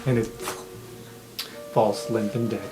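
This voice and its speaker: Animated game master narrating with music. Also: medium pitch, slow pace, low-quality recording. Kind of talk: improvised tabletop game narration.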